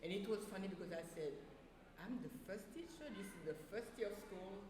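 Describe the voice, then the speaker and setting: A woman's voice: medium pitch, crowd noise. Older Haitian woman in an echoey room. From Port-au-Prince, Haiti. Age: 60s. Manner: animated, quick to laugh. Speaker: interview guest, retired school counselor and teacher. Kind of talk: casual storytelling interview. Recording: echoey reverberant room with noise.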